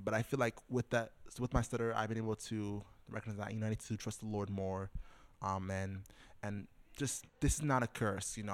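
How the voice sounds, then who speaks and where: A man's voice: lowish voice, no room sound. A young man as a podcast guest, sharing a personal faith story in a casual way, with restarts, repeated words and many fillers. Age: young adult. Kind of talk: personal testimony in casual conversation.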